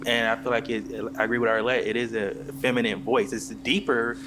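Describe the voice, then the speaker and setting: A man on a panel who talks haltingly, often restarting and repeating words. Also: mid-range voice, little pitch movement, over music. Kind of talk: casual panel chat.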